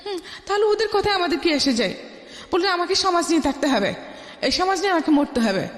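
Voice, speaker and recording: Woman, Bangladeshi accent, high pitch, life-story narration, emphatic, echoing room. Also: animated, fast talker. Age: middle-aged. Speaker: guest telling her life story. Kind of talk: personal storytelling in a radio interview. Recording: echoey room with long reverb.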